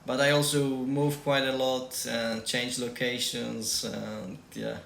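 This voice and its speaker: A man's voice: medium pitch, monotone, takes it slowly, gravelly, a bit bright. A man from Poland with a Polish accent, a tech team lead giving casual interview answers and repeating key advice emphatically. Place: shared room. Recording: echoey room with faint noise.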